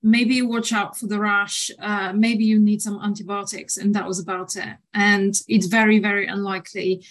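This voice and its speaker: A woman in her thirties from Bulgaria, a nutritional therapist answering interview questions in a measured way with frequent fillers. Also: mid-range voice, level tone.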